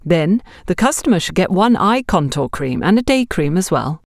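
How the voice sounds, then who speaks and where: A woman's voice: lowish voice, sing-song, normal speed, darkish tone, no echo. A woman narrator puts on a demanding female boss in an audiobook drama. She is curt and authoritative, her intonation rising and falling sharply, recorded close and dry. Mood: instructing.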